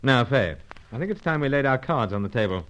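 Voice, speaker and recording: An actor playing a suave, unflappable secret agent in an old radio serial, with clipped, plummy speech. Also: male voice, mid-range voice, lively intonation, a little fast, a bit bright, close to an everyday voice. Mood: urbane, teasing. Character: suave British male secret agent, jaunty, unflappable, narrating. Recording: dull old radio recording.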